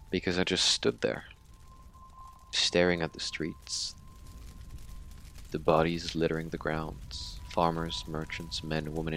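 An actor playing a haunted young Mexican man in his twenties, telling his tragic story in a halting, sweary, informal monologue for an audio drama. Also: very deep voice, fairly bright tone, slight background noise, full character voice. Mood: grave, haunted. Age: 20s.

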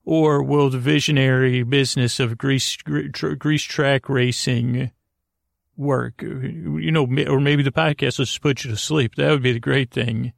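A man hosting a sleep podcast with a halting, meandering, whimsical monologue in which he often repeats himself. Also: mid-range voice, steady tone, gravelly, no echo, clean studio sound. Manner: whimsical, tongue-in-cheek. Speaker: podcast host.

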